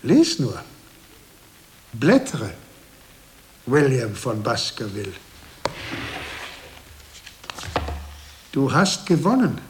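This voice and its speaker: Slow, stern old monk villain. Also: male voice, medium pitch, wildly swooping pitch, a little hiss or hum. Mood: coldly inviting. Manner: measured, solemn, imperious. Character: old blind monk librarian, stern, cunning, commanding villain. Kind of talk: German radio drama acting.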